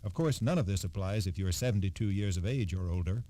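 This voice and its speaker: A man's voice: low voice, expressive, fast talker, warm tone. A man reading scripted information as a guest spokesman on 1960s radio, formal and matter-of-fact. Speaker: Social Security spokesman guest.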